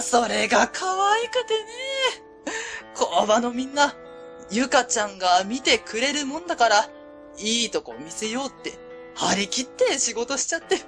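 A woman's voice: on the high side, a bit bright. An actress playing a friendly, modest middle-aged woman company president in a Japanese radio drama, polite and fond. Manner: polite, hesitant openers, fond chuckles.

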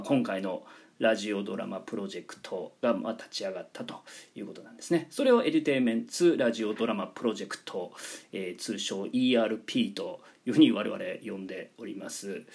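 Man, polite project presenter, very animated intonation, slightly husky voice, explanatory, emphatic on key words. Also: fairly low pitch. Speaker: presenter and organiser of a radio drama project. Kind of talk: informal announcement explaining a project.

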